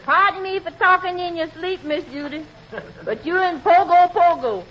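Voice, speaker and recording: A woman's voice: very high voice, normal speed. An actress playing a sassy flower girl sidekick who drawls wisecracks in 1940s radio comedy style. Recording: muffled old radio recording.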